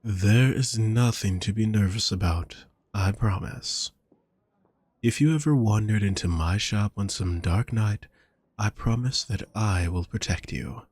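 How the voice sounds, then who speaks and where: A courtly male vampire shopkeeper, acted out by a man for an intimate roleplay audio drama. He speaks very slowly in a low voice, doting and teasing with formal, flowery turns of phrase. The sound is dry and close, with a husky grain. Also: a little mellow, no room sound. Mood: soothing, coaxing.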